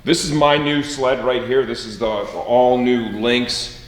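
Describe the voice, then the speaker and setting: A man's voice: mid-range voice, unhurried. A middle-aged man, upbeat and joking, chatting casually with his son in a large garage workshop and asking him leading questions. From Michigan, USA. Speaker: host, team owner and father. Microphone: far camera, no mic seen. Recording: echoey, roomy garage sound.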